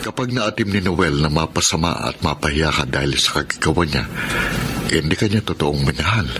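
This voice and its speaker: Radio drama casting: deep-voiced Filipino married man with a secret lover, possessive, reassuring, noisy track. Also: lively intonation, brisk. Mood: firm, insistent.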